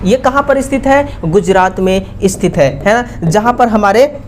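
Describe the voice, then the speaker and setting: A woman with an Indian accent teaching exam questions, repeating key facts as quick rhetorical questions with frequent checking tags. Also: mid-range voice, darkish tone, a little hiss or hum. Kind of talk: exam question analysis lesson.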